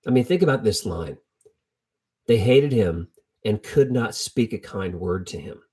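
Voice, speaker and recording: A man's voice: fairly low pitch, animated, a little slow, a little mellow, husky. A middle-aged man teaching from the Bible in an informal, storytelling sermon style, conversational and colloquial, repeating phrases for emphasis. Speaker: preacher teaching a Bible lesson.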